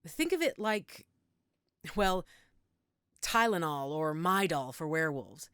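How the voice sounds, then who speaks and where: A woman's voice: sits low, lively intonation, slow, fairly bright tone, smooth, no echo, clean studio sound, heavily acted. A woman playing a frank, caring female werewolf in an audio roleplay, matter-of-fact and reassuring with a wry edge. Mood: soothing, practical. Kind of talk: audio roleplay drama, one-sided conversation.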